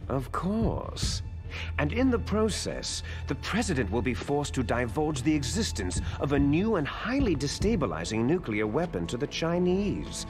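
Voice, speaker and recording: An actor playing an arrogant, cunning terrorist boss in a video game cutscene, commanding, theatrical and contemptuous in manner. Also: male voice, a little high, very animated, normal speed, music in the background. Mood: cold, commanding.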